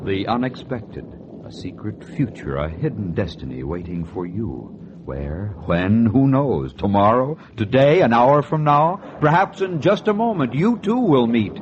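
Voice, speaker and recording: Theatrical 1940s male radio announcer, very animated. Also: mid-range voice, a little slow. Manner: portentous, theatrical. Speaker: radio announcer and series host. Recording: noisy, muffled 1940s radio recording.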